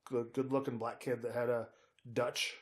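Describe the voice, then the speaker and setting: Man co-hosting a podcast, halting and conversational. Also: mid-range voice, flat delivery. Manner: halting, groping for words and names. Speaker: podcast co-host. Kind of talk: casual conversational true-crime podcast.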